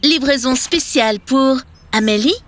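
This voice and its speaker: A woman's voice: sits high, unhurried. A woman voicing a cute, curious and easily startled young character in a children's cartoon. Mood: puzzled. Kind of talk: dubbed children's cartoon acting. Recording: loud children's music behind the voice.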